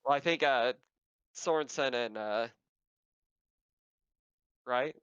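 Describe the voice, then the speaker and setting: A man casually narrating a tabletop roleplaying game as game master, pausing often as he describes things. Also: mid-range voice, a bit bright, smooth, dry recording. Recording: dull, muffled voice track.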